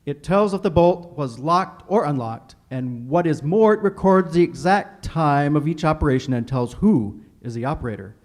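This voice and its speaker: A man playing a courteous radio announcer and sponsor pitchman in polished, formal 1940s style. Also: natural voice. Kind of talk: recreated 1940s radio announcing, scripted narration.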